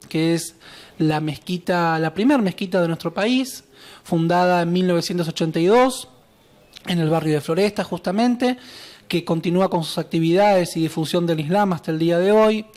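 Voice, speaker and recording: A man in his twenties with a Buenos Aires accent, a talk show guest telling his story in an earnest, measured way with frequent fillers. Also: sits high, darkish tone. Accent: Buenos Aires Spanish. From Argentina. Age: 20s. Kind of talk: personal account on a talk show.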